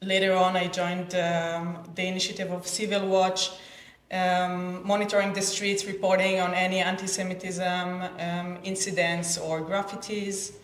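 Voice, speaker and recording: A woman from Israel tells her story as an activist on a panel. She speaks haltingly, with frequent hesitations, in a flat, barely varying tone. The room around her is noticeably echoey. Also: lowish voice. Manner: halting, with many pauses and hesitations. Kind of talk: personal testimony at a panel discussion. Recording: roomy, echoing event space.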